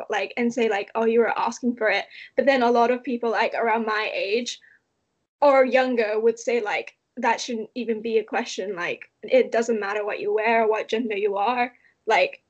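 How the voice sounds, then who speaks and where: A young woman from Malaysia answers questions in a loose, chatty way, her sentences full of "like" fillers. Her voice sits a bit high. The recording sounds dull and lo-fi. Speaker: interviewee. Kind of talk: casual conversational interview.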